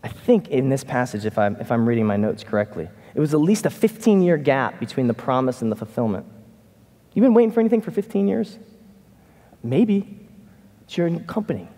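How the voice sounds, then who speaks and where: A middle-aged man preaching a conversational sermon from a church stage, animated and casual. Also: medium pitch, fairly quick. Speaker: pastor. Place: church stage in a large hall. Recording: reverberant hall.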